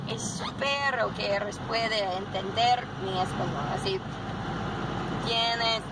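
Slow, halting young woman learning Spanish. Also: fairly high pitch, heavy background noise. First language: English. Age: young adult. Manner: slow and halting, with many fillers. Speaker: vlogger. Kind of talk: casual vlog in learner Spanish. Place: car, restaurant, parking lot and grocery stores. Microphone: handheld camera at arm's length.

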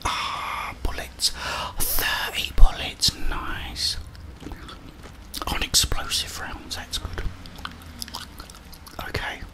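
Whispering man, slow and breathy. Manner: murmuring to himself and viewers in short remarks. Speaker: gaming ASMR host. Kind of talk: whispered ASMR game commentary. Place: small indoor room with plain wall.

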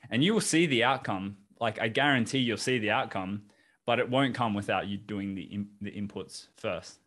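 A young Australian man hosting a podcast over a video call, talking in a relaxed, encouraging way. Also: lowish voice, moderate pace. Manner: relaxed, encouraging, "you know" fillers. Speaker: podcast host. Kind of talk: casual video-call interview. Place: home office, indoors.

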